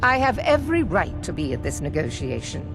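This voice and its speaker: An actress playing a cold, haughty elven ambassador, imperious and formal, in a fantasy game. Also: female voice, sits low, big pitch swings, a little fast. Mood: indignant. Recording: prominent game music behind.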